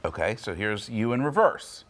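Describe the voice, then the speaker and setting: Tv sidekick guy cracking jokes about himself, lively voice. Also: fairly low pitch, normal speed, a little hiss or hum. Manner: jokey, self-mocking banter. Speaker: show regular, co-host. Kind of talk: casual studio chat. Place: TV studio.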